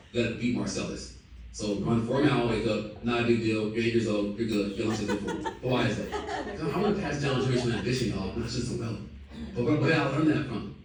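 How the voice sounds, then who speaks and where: A man's voice: mid-range voice, a little hiss or hum. Hyped thirty-something man, echoey and distant. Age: 30s. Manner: hyped, repetitive, slangy and exclamatory. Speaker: company leader addressing a team call. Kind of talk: motivational speech on a call.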